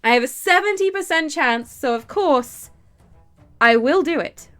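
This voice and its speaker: Woman game streamer, high voice, stuffy from a cold, excitable chat, faint music behind. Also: measured pace. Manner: excitable, laughs easily, bursts into high delight. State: stuffy nose and sore throat from a cold. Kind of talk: casual live-stream chat, reading game text aloud.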